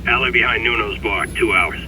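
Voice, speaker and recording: A man's voice: fairly low pitch, a little fast, recorded from a distance, noisy background, natural voice. A man playing a curt, wary gun dealer in a video game.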